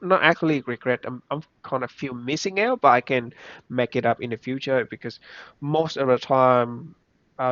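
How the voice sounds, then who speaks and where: Young guy w a vietnamese accent, halting and restarting a lot, dry dull-sounding recording. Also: mid-range voice, a bit bright, dry recording. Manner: halting, with many restarts and simple phrasing. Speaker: interviewee. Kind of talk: casual reflective chat.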